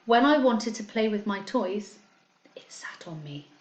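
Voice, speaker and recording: Lady teacher doing story time for kids, expressive and simple wording, roomy echoey sound. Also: medium pitch. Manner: expressive storytelling with slowed, emphasised words. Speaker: primary school teacher reading to pupils. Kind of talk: children's story read aloud, addressing pupils.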